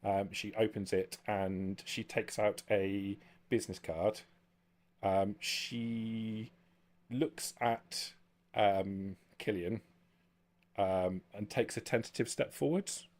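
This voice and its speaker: Low-voiced man narrating as game master. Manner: halting, explanatory. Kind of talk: improvised tabletop game narration. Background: soft music.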